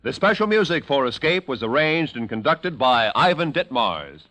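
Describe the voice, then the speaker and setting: A man's voice: mid-range voice, very animated, normal speed. A man with an American accent reading scripted credits as a 1940s network radio announcer. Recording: old radio broadcast with music.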